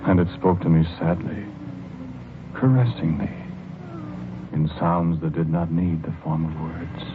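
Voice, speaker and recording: An actor playing a dreamy, timid husband obsessed with his garden, musing haltingly in the style of 1940s radio drama. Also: low-pitched, fairly even intonation, noisy background. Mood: dreamy, wistful. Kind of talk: 1940s radio drama acting, first-person narration and dialogue. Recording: muffled old radio recording, music behind.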